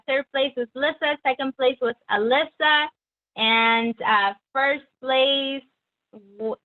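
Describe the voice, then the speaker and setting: A woman with a slightly high voice announces game results to an online audience. Her voice comes through a tinny, phone-like line, and she talks in a chatty way with lots of fillers. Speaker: event staff, game host. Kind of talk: casual event hosting.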